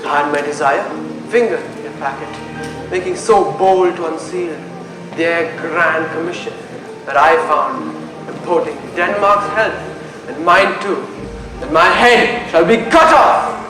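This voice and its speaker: A man's voice: on the high side, slow. A young man playing a brooding, passionate prince in a school stage Shakespeare play, with theatrical declamation. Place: indoor stage in a hall. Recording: very echoey hall, loud music behind.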